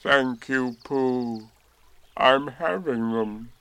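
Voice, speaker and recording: A man voicing a gloomy, proud old donkey in a storybook reading, with a drawn-out, mournful delivery. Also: mid-range voice, pushed and bright, clear, resonant tone, exaggerated voice. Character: gloomy old male donkey, proud, slow, easily excited.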